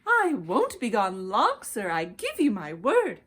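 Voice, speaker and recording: A woman's voice: medium pitch, wildly swooping pitch, slow pace, a bit bright, exaggerated voice. Woman putting on a sing-song, coaxing storybook bird. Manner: sing-song, wheedling, sugary. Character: flighty, coaxing, carefree female bird. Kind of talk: children's storybook character voice.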